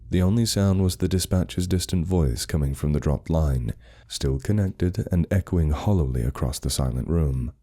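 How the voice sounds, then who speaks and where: A man's voice: rumbling low, mellow. A man narrating a scripted horror story in a grave, suspenseful manner.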